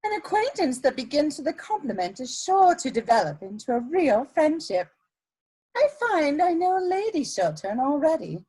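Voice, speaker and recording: A woman's voice: high-pitched, very animated, moderate pace, mellow. A woman playing a witty, scheming society lady in a period stage comedy, speaking in an arch, coy, polished way. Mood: arch, polite.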